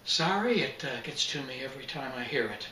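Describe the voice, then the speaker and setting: A sharp, matter-of-fact woman bone expert who teases her friend, as a man reads her lines. The voice is bright and forceful, heard from a distance in an echoey room. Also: extremely low pitch, lively intonation, fairly quick, slight background noise, full character voice. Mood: wistful. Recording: roomy echo, distant mic.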